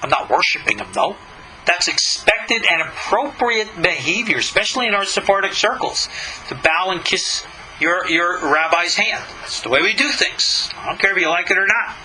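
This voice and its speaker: A man's voice: high-pitched, expressive, normal speed, sharp tone, poor audio. A middle-aged or older man, a rabbi giving a religious lesson, reading a text and commenting on it in an emphatic, skeptical, questioning way.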